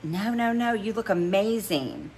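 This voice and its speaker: A woman reading a novel aloud, voicing an excitable, supportive best friend with a teasing, exclamatory manner. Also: medium pitch, unhurried, slight background noise, pitched above the performer's own voice. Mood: excited, approving.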